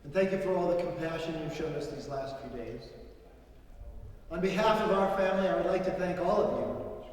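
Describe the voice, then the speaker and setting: A man's voice: high-pitched, brisk, a little mellow, close to an everyday voice. An actor playing a grieving, formal man addressing mourners in a live stage play. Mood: solemn, grieving. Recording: echoey hall, distant, crowd sounds.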